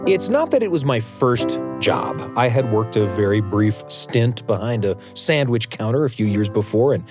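Expressive American man hosting a radio show. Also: mid-range voice, normal speed, over loud music. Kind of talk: warm-up chat and personal storytelling narration.

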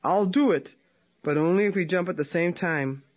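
A hungry, hot-tempered male coyote, played by a woman storyteller, grumbles his threats in a gruff voice. He is easily fooled and quick to anger, a storybook beast. The sound is dull and lo-fi. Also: lowish voice, a little mellow, close to an everyday voice. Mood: wary. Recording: dull lo-fi recording.

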